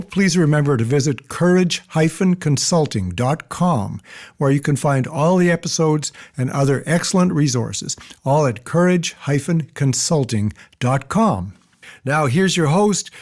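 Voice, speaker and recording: A man reading a scripted podcast intro in a polished announcer's delivery. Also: on the high side. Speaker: show announcer.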